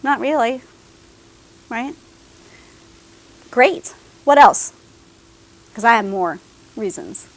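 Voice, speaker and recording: A woman's voice: fairly high pitch, expressive, takes it slowly, long pauses, low-quality recording. A woman giving a career services presentation to a class of students, talking to them directly and often checking in with "okay?". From American South.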